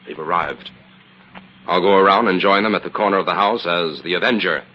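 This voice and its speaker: An actor playing a calm, confident, methodical crime-fighting hero in an old-time radio adventure. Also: male voice, sits low, fairly quick, close to an everyday voice. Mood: calm, resolute. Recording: muffled, noisy old radio recording.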